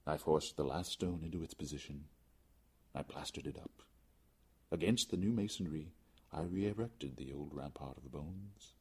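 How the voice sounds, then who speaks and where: A man reading gothic fiction aloud as a dramatic audiobook narrator, theatrical, deliberate and intense. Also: extremely low pitch, breathy edge. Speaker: audiobook reader.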